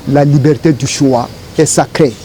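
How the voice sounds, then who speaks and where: A middle-aged man from Congo answering interview questions in a deliberate, formal, rhetorical way. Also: a little high, steady tone, dark tone, a little hiss or hum. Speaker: interview guest.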